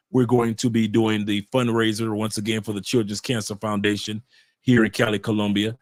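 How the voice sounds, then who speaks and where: Male guest, conversational and lively, loops back over his points; mid pitch, dry close sound. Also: dry recording. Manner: animated storyteller, repeats phrases, frequent restarts. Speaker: guest, YouTube channel creator and author. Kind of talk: casual conversational interview. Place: home in Colombia.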